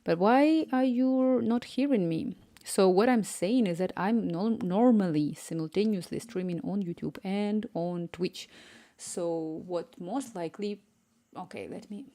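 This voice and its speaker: A woman's voice: on the low side, measured pace, dark tone. Ukrainian woman with a slight Spanish lilt. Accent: Ukrainian with Spanish influence. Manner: chatty, distracted, reads chat aloud, murmurs to herself. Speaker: live-streaming app developer. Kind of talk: casual live-stream chat while coding. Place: home room.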